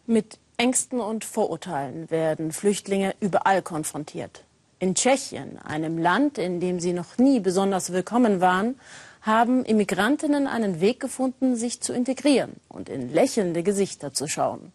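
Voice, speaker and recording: A woman's voice: medium pitch, animated, normal speed, a little mellow, dry recording. A woman presenting a television news magazine with a scripted introduction. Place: dry studio.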